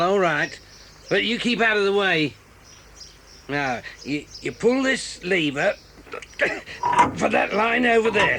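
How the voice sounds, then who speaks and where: A curt, grudging railway signalman from a radio drama. His voice is high and bright, with a faint hiss behind it. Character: gruff male railway signalman. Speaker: signalman working the points.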